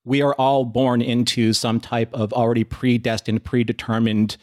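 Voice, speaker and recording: A man co-hosting a casual discussion podcast, laying out long, measured, analytical arguments. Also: medium pitch, flat delivery, a little mellow. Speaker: podcast co-host.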